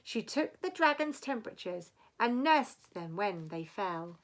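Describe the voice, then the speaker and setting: Female storybook reader, teacher-like: deliberate rhyming delivery, very lively intonation, unhurried. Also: medium pitch. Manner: dramatic, deliberate phrasing. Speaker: teacher reading aloud. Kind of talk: expressive children's storybook reading in rhyme.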